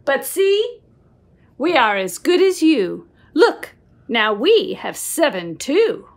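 Middle-aged female storyteller for a kids' picture book, very animated range, unhurried and sing-song. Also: high voice, creaky. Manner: expressive, sing-song, slow, with whispered asides. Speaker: children's book reader. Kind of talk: animated read-aloud of a picture book for young children. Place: small room at home.

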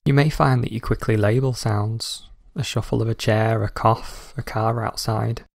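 A man calmly guiding a scripted meditation in a measured, instructional way. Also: lowish voice, creaky, no echo, studio quality. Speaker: meditation guide.

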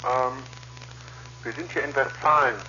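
A man's voice: mid-range voice, fairly even intonation, measured pace, pushed and bright. A man in a casual two-way chat as an amateur radio operator, heard over a radio link. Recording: lo-fi ham radio link with hiss.

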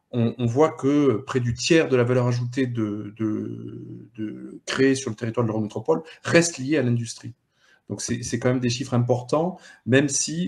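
Middle-aged man explaining haltingly on a webinar. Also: mid-range voice, creaky, darkish tone. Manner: halting, repeats small words. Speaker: local economic development director, panellist. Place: indoor room, on a video call. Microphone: headset on video call.

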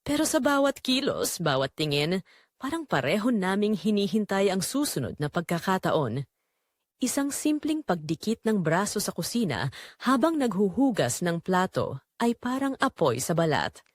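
Female narrator for first-person audio drama; mid-pitched, highly varied intonation, dry studio-style sound. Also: very animated, normal speed, no echo.

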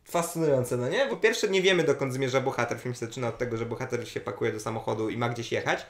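Young male reviewer, casual conversational delivery, middling pitch, echoey indoor room. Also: fast. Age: young adult. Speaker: film festival reviewer. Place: small living room, indoors, on a couch. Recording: roomy echo.

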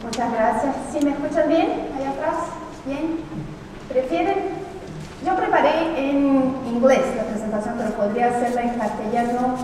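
A middle-aged woman with a Portuguese-tinged Spanish accent, whose first language is not Spanish, giving an academic conference talk in a lecture hall, explaining thoughtfully with frequent pauses and restarts. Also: high voice, warm tone, slight background noise. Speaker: conference speaker. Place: large lecture hall with audience. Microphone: far room recording of a hall talk. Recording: echoey hall recorded from far off.

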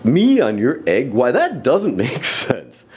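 A huge, kind-hearted elephant who speaks low, earnest and steady, as a man plays him. He talks slowly and plainly, with a lot of lift and fall. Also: a little hiss or hum. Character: big, gentle, faithful male elephant. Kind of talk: storybook read-aloud.

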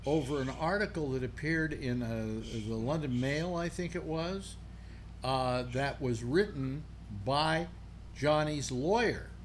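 A man's voice: on the high side, measured pace. An older man hosting a casual panel chat over a video call, musing aloud and restarting his sentences. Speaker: host. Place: home room on video call. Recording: faint background noise.

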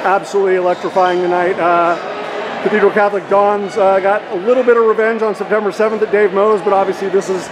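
Fast-talking man with rambling questions. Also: high voice, flat delivery, crowd noise. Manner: long rambling questions full of fillers. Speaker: sports interviewer. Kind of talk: postgame interview. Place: indoor arena hallway. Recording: noisy background.

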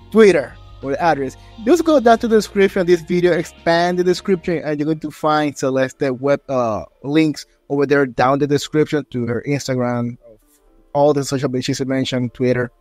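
Male host, thirties, fairly high voice, effusive and rambling, over music. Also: normal speed, a little mellow, no echo. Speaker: interview host. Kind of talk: casual hosting, channel plugs. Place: indoor room with streaming setup. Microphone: desk microphone, close, with headset.

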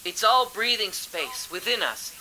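A man's voice: sits high, very animated, a little hiss or hum, heavily acted, rough recording. A dying father's last words. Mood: awed. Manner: hushed, halting, awed. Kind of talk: audio drama monologue.

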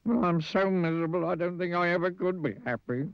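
A man voicing a gloomy, self-pitying little storybook character with a sad, squeaky, whining voice. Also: animated, a little slow, dry recording, dull audio. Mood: miserable.

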